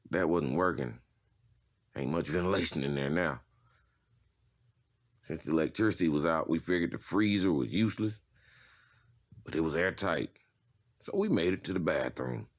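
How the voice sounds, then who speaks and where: Tough male convict for a comic drama, played by a male actor: extremely low voice, slangy, foul-mouthed, laid-back phrasing. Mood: blunt, crude. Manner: casual, slangy, profane. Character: tough, streetwise adult male prison inmate giving a tour. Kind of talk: comic-book dramatization, character acting.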